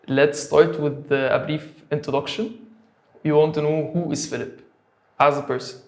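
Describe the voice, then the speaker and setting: A man's voice: a little high, little pitch movement, normal speed, echoey room. A man hosting a podcast interview, asking polite questions with some hesitation. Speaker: podcast host.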